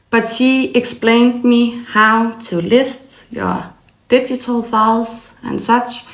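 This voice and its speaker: Danish woman, business owner, slow measured delivery with a gravelly edge, echoey room. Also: on the high side. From Denmark. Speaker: small business owner and laser file designer. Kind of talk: personal story narration.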